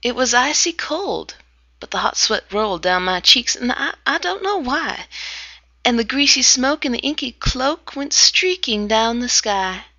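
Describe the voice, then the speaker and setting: A woman reading a narrative poem aloud, dramatic, with hushed whispered passages. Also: medium pitch, gravelly, no room sound, highs cut off. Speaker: amateur reader.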